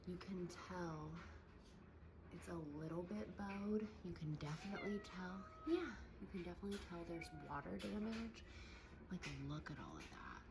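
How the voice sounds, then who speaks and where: A woman in her thirties giving a casual handheld video tour at home, hushed and often whispering, exasperated and sweary. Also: lowish voice, big pitch swings, normal speed. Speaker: vlogger. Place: indoors at home, in a kitchen. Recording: noisy handheld clip.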